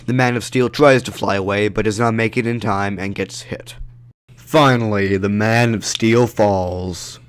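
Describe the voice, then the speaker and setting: Man narrating an amateur audio drama. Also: mid-range voice.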